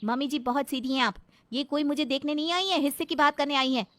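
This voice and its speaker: A woman playing a bold, sharp-tongued young daughter-in-law in a cartoon moral story, scolding and outspoken. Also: high voice, fairly even intonation, quick pace, dry recording, pitched above the performer's own voice. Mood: angry, defiant.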